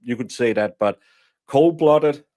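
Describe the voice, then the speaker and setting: A man's voice: medium pitch, a little slow, dark tone, no room sound, studio quality. A man from Denmark, a guest commentator chatting bluntly with many restarts. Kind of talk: casual commentary chat.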